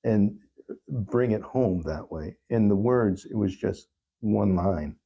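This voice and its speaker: A man's voice: fairly low pitch, slow, gravelly, husky, highs cut off. A man speaking earnestly as an interview guest, reflecting on his songwriting and repeating phrases for emphasis. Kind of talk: reflective interview talk about own songwriting.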